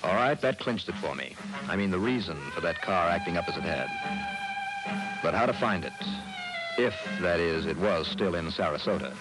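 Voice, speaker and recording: A man's voice: sits low, expressive, normal speed, rough recording. An American actor playing a confident, wry male insurance investigator in an old-time radio detective drama, with a breezy delivery. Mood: wry narration. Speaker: lead actor and first-person narrator. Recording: vintage radio recording with music.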